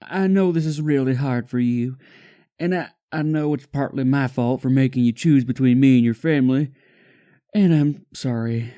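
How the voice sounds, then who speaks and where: A man voicing an anxious, earnest young male pony in a storybook reading, tender and apologetic with a halting, stammering delivery. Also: medium pitch, mellow, pitched above the performer's own voice, lightly acted. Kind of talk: storybook fan fiction character acting.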